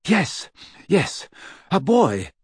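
A middle-aged wizard from a storybook, acted out by a male reader, weary yet emphatic. The voice is husky and very animated, with formal, declarative phrasing and a close, dry sound. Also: mid-range voice, measured pace, dry recording. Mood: overjoyed. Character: frightened then overjoyed weary middle-aged male wizard werewolf friend.

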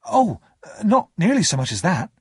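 A man's voice: fairly high pitch, huge pitch swings, moderate pace, breathy edge, dry recording, studio quality, voice pushed up, heavily acted. A man voicing an eager, timid young male student in a storybook, hesitant and questioning. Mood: nervous.

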